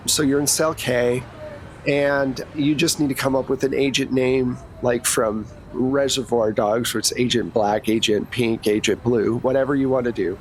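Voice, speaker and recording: A man's voice: medium pitch, fairly even intonation, moderate pace, a little hiss or hum. A man narrating a tabletop game as game master, improvising in a casual, conversational way.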